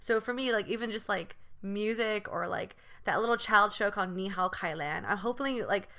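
A woman's voice: mid-range voice, normal speed, sharp tone. A young woman chatting casually among friends as a podcast co-host, often dropping in "like" and "you know". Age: young adult.

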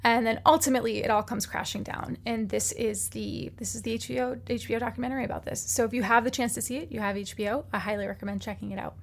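A woman podcast host giving a chatty, animated recommendation with plenty of fillers. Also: on the high side, fast, vocal fry, few pauses. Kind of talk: casual chat, recommendation segment.

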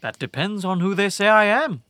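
A man's voice: high voice, lively intonation, moderate pace, sharp tone, dry recording. A man playing a captured enemy warlord in an audio drama, flippant and cocky, with a theatrical, taunting, wry delivery. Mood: sardonic.

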